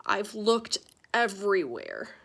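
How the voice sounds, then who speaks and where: A woman's voice: mid-range voice, wildly swooping pitch, slow, gravelly, exaggerated voice. A woman voicing a distraught, indignant old grandfather with toothless, mumbly speech in a dramatic storybook read-aloud. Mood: tearful. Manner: loud, dramatic, suspicious. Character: distraught old grandfather missing his false teeth, indignant.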